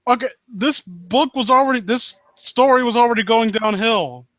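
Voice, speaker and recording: A man with a high voice critiques a story in a casual way. He sounds sarcastic and exasperated, and he is free with his swearing. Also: moderate pace, bright, forceful tone. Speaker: co-reader and critic on a live reading stream. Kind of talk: casual mocking commentary and story reading.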